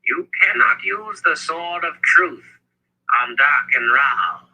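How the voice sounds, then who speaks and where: Man voicing an emphatic old wizard mentor, high-pitched. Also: lively intonation, takes it slowly, vocal fry, bright, forceful tone, husky, heavily acted, low-quality recording. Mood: grim warning. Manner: emphatic, fatherly admonishing. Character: old wizard mentor, grave yet affectionate and proud.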